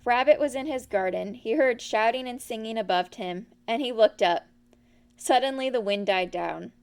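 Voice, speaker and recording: A woman's voice: fairly high pitch, normal speed, darkish tone, smooth. A woman narrating a children's storybook from a script as the reader.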